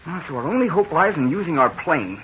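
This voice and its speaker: An actor playing an earnest young sidekick in a 1940s radio serial, with boyish, worried exclamations. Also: male voice, on the high side, sing-song, a little fast, fairly bright tone, slight background noise. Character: eager young male sidekick, earnest and anxious. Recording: dull lo-fi 1940 radio transcription.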